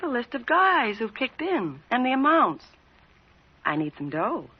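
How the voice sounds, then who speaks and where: A woman's voice: on the high side, very animated, unhurried, breathy edge, exaggerated voice. A brassy American woman swindler in a 1940s radio crime drama. She is flippant, confident and money-minded, with a slangy delivery. The sound is dull and muffled, like an old broadcast. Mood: casual, money-minded.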